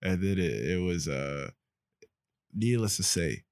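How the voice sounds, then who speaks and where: A man's voice: deep voice, expressive, slow, darkish tone, no room sound, studio quality. A young man hosting a podcast, telling a story in a reflective, casual way. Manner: reflective, storytelling, frequent fillers. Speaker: podcast host.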